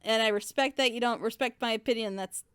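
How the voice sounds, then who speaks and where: A woman's voice: a little high, fast talker, fairly bright tone, clear, resonant tone. A woman hosting a casual reaction session with friends, rambling along in a giggly way. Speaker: host of a group reaction show.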